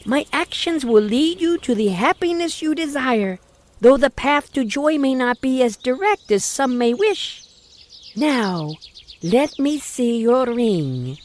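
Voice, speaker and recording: Lady doing a slow dreamy fortune-teller woman, soothing and mystical, swoops up high. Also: animated, a little mellow. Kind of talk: old-time radio drama acting.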